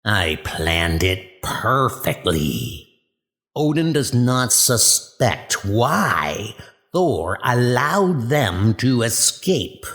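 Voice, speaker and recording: A man's voice: fairly low pitch, a bit bright, exaggerated voice. A man voicing a smug, jealous trickster god in a comic audio drama, with sly, theatrical delivery.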